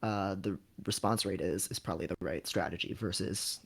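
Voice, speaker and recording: A man's voice: low-pitched, fairly even intonation, moderate pace, creaky, fairly bright tone, husky. A man hosting a casual livestream, giving frank advice in a conversational, somewhat hesitant way. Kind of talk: casual livestream chat, advising.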